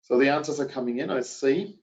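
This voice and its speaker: German-accented middle-aged male presenter, hesitant delivery, average pitch, echoey room. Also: fairly quick, vocal fry. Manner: hesitant, many fillers. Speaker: webinar presenter. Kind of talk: presenting a business webinar. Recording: dull, roomy webinar audio.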